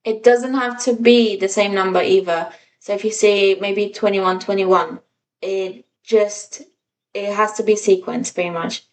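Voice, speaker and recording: A woman's voice: medium pitch, steady tone, a little slow, distant mic, poor audio. A woman co-hosting a podcast in casual chat, informal and self-deprecating, rambling a little as she goes. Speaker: podcast co-host.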